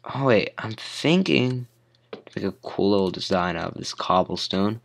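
A teenage boy with a hoarse voice from a cold, rambling casually with plenty of fillers while commenting on his building game. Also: lowish voice, animated, unhurried. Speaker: gamer.

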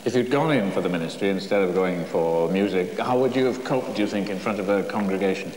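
Presenter asking friendly questions. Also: male voice, low voice, fast. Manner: conversational, gently teasing. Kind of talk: interview questions. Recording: 1980s TV film with long reverb, light hiss.

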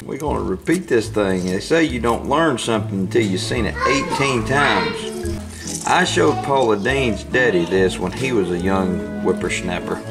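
A man's voice: lowish voice, lively intonation, a little slow. A man, the host's husband, bantering playfully and folksy in a home kitchen. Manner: playful, tall-tale teasing, folksy. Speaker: husband, co-host. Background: background music and sizzling.

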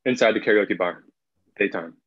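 A man's voice: on the low side, moderate pace. A young man narrating, reading out screenplay stage directions over a video call. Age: young adult. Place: indoor room, video call. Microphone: video call, computer mic. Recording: muffled video call audio.

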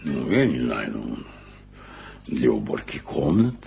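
A man's voice: a bass voice, sing-song, slow, creaky, a little hiss or hum, full character voice. An actor playing a flustered older bachelor who blusters and stumbles over his words in a radio play. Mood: awkward. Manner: blustering, then awkward and hesitant. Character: Peter Hope, a flustered, fussy older bachelor. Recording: dull vintage radio recording with music.

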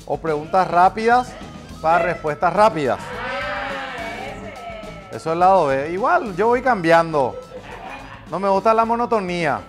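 A man, hosting a quick-fire studio interview with teasing banter, on a lapel mic in a dark studio. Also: sits high, lively intonation, over music. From Paraguay. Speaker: interview host. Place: dark studio set, seated.

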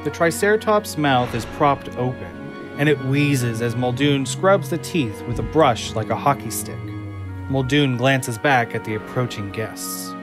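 A man's voice: medium pitch, measured pace, over music. Casting: male narrator for audio drama, mid-range voice, scripted scene description, prominent score underneath.

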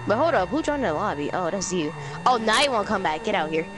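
A man's voice: sits high, big pitch swings, fast, over music. A man streaming a video game live, chatting casually and teasing a friend who joins him. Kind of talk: casual live gameplay chat.

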